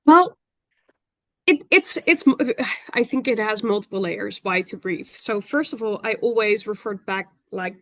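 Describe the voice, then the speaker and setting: A woman with a Dutch accent, speaking as an industry analyst on a webinar panel, measured and thoughtful with frequent fillers. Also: medium pitch, a bit bright, dry recording, studio quality. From Netherlands. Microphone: video call.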